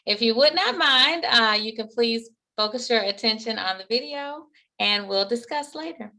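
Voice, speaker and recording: A woman's voice: on the high side, expressive, normal speed, creaky, pushed and bright. A woman school principal giving an earnest webinar presentation, speaking with pride about her school's work. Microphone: remote webinar audio.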